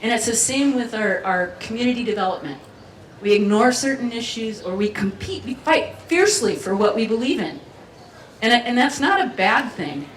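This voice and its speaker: A woman's voice: fairly high pitch, slight background noise. Playful woman speaking in a roomy hall. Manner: playful and chatty, with laughs and audience participation. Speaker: festival speaker. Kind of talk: keynote talk to a live audience. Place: community event venue. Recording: roomy hall sound from far off, faint ambience.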